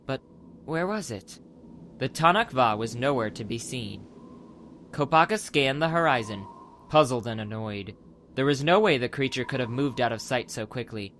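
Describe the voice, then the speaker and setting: A male narrator with a mid-pitched voice reads a scripted adventure story in a dramatic audiobook style. Faint ambient noise sits behind his voice. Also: expressive, normal speed, a bit bright.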